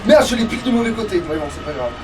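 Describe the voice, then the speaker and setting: High-voiced man rambling and swearing, distant mic. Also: sing-song, rapid-fire. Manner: crude, swears freely, rambling and self-interrupting. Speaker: let's play gamer at the controls. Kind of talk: casual gaming commentary. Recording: distant mic with faint background noise.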